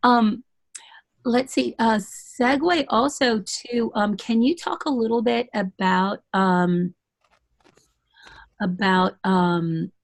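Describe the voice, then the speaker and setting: A woman's voice: on the high side, takes it slowly, dry recording. A woman hosting a casual webinar interview, asking questions hesitantly with many fillers and a playful turn. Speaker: host.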